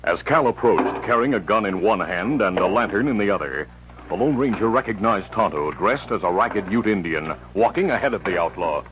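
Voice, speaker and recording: Low male radio narrator, muffled old recording. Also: animated, moderate pace, background noise. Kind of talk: dramatic scripted 1950s radio narration.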